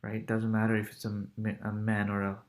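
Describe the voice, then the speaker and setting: A young man in his twenties teaching a casual language lesson, repeating phrases slowly with relaxed, chatty asides. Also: lowish voice. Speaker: language tutor.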